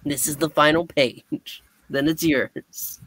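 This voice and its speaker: A man's voice: a little high, fairly even intonation, takes it slowly, noisy background. A man narrating a comic book aloud as a dramatic live reading, with casual asides. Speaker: narrator and reader.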